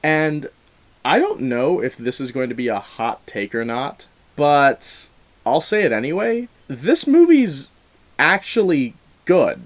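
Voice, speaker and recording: A man's voice: medium pitch, very animated, a little slow. A man giving a casual, opinionated film review, leaning emphatically on key words in an earnest way. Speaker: film review show host.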